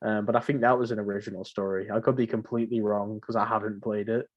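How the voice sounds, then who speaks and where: A man's voice: on the low side, little pitch movement, fast, dull audio. A man co-hosting a podcast in casual, conversational chat about games. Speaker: podcast co-host.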